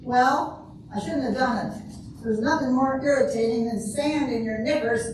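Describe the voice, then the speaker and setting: A grumpy, cheeky older lady played by a woman in a readers theater speaks in a somewhat high voice with deadpan comic timing. She is distant from the microphone in a very echoey room, with clear background noise. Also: normal speed, natural voice. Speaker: amateur readers theater performer. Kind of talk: comedic stage reading. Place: large echoey room before an audience. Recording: echoey hall from far off, noisy.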